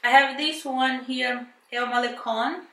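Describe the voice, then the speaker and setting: Woman, Brazilian accent, chatty and hesitant, gravelly voice, beauty vlogger. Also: sits high, fairly even intonation, measured pace, bright, forceful tone, roomy sound, far from the mic, rough recording. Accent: Brazilian Portuguese. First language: Portuguese. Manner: chatty, hesitant, many fillers and restarts. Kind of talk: casual product unboxing and review.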